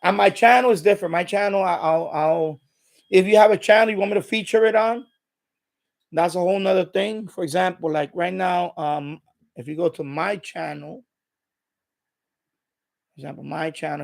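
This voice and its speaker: A man's voice: high-pitched, moderate pace, gravelly, long pauses. A middle-aged man chatting casually and unscripted as a live stream host, rambling and repeating himself as he explains.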